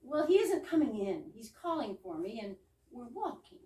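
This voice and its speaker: A woman's voice: a little high, big pitch swings, moderate pace, mellow. A woman playing a prim, scolding older sister in an amateur staged reading of a 1920s comedy, huffy and indignant. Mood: huffy, evasive. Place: live reading in a roomy indoor space. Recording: echoey room, distant mic.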